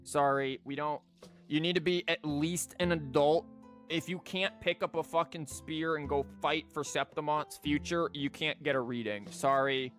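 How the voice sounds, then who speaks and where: A man's voice: a little high, steady tone, moderate pace, fairly bright tone. A young man streaming a story game live, swearing and making sarcastic jokes at the characters. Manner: profane, sarcastic joking at the game. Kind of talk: live gameplay commentary. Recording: faint game music behind.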